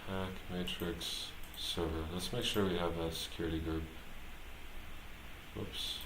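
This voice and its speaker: A man thinking aloud as he live-streams his programming work, talking casually and haltingly, muttering to himself as he goes. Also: low-pitched, level tone. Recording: roomy echo, clear background noise, typing.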